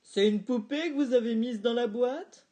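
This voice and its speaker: A woman reading a storybook, voicing a stubborn father who grows indignant and shouts at a waitress. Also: high voice, expressive, measured pace, pitched above the performer's own voice. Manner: indignant, repeats his pointed questions. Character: exasperated dad, stubborn and quick to shout.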